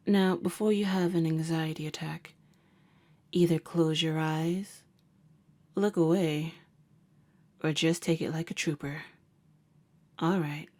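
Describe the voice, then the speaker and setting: A woman's voice: fairly low pitch, a little mellow. A woman playing a jaded, sardonic vampire hunter who works as a nurse, talking in a wry, slangy way in an ASMR roleplay. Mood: brisk, teasing. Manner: wry, casual, slangy, unhurried. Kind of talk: ASMR roleplay audio drama.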